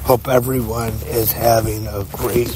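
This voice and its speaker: A man's voice: mid-range voice, background noise. A man hosting a solo wrestling podcast, talking with a stuffed-up nose from a cold. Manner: slow, measured and even, with pauses. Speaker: podcast host. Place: walking to work outdoors.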